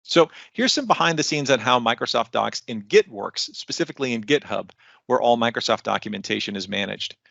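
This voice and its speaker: A man's voice: mid-range voice, lively intonation, brisk, gravelly, a bit bright, no room sound, highs cut off. A man giving an explanatory online conference presentation as a technical presenter, with instructional phrasing and light asides. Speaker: technical content developer presenting.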